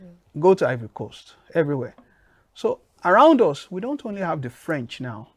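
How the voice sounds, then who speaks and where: A man with a Nigerian accent answering interview questions in a measured, emphatic way. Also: fairly high pitch, very animated, husky, no echo, clean studio sound. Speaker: guest.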